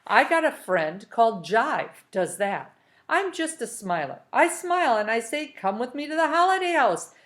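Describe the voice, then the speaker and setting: A woman's voice: on the high side, very animated, moderate pace, fairly bright tone. Woman voicing a brash, grinning storybook salesman. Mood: persuasive. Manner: brash, folksy, informal salesman patter. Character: chatty, breezy grinning floating man, slick persuader.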